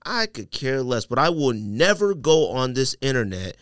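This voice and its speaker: A man's voice: medium pitch, normal speed, no room sound, studio quality. A man hosting a podcast in a casual, slangy way, telling stories and acting out bits of dialogue. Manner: slangy, animated storytelling, acts out dialogue. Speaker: podcast host.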